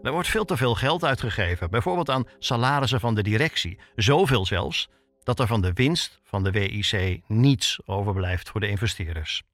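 A man narrating a scripted documentary podcast as its reporter, telling the story in short, measured phrases. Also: fairly low pitch, lively intonation, fairly bright tone.

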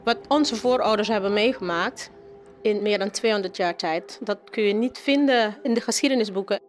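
A woman's voice: mid-range voice, normal speed, a little hiss or hum. A woman answering in an interview as a teacher and activist, earnest and emphatic.

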